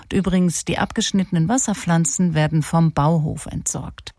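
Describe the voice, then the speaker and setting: A female radio reporter explains the story in a plain, scripted way. Her voice is fairly low and sounds dry and close. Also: steady tone, moderate pace, warm tone, dry recording.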